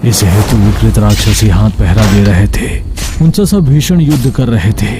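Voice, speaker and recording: Low-voiced male folk-tale narrator. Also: normal speed, over music. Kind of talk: scripted dramatic storytelling for animated folk tale.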